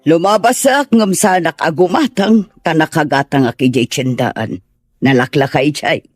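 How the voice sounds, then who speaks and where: A woman with a northern Philippine accent playing a stern, suspicious older sister in an audio drama, scolding and quick to question. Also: low voice, dry recording, soft background music. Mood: dismissive.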